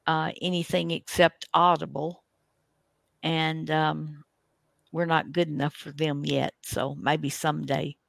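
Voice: medium pitch, level tone, slow, creaky, a bit bright, no room sound. A radio host casually introducing a show in a folksy, hesitant, rambling way.

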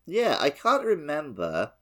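A man's voice: a little high, huge pitch swings, measured pace, a bit bright. A man co-hosting a casual gaming podcast, giving short, dry, teasing replies. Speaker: podcast co-host. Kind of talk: casual chat about a video game.

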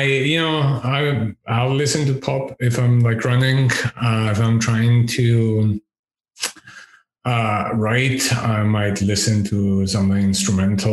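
Deep-voiced man, slow and laughing. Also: echoey room, poor audio. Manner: laughs often, thinks aloud with fillers. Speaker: podcast guest, education researcher. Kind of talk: casual interview chat. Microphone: over-ear headset with boom mic.

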